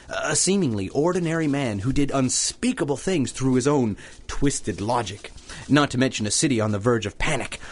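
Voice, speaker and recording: A man's voice: mid-range voice, natural voice. A man playing a chatty, ingratiating newspaper reporter who rambles politely and effusively in a 1930s-set radio drama.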